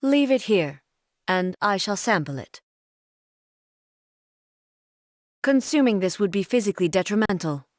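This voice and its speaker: An actress voicing a solemn, aloof immortal shogun and swordswoman in a video game, with formal, measured, stately delivery. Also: mid-range voice, lively intonation, no room sound, clean studio sound, natural voice. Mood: stern, cold. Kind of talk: video game character voice lines.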